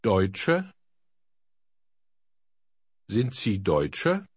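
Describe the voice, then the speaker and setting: A man slowly modeling phrases for learners to repeat in a course recording. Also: fairly low pitch, huge pitch swings, breathy edge, dry recording. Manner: slow, carefully articulated. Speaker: native model speaker. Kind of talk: slow drill repetition. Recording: dry studio.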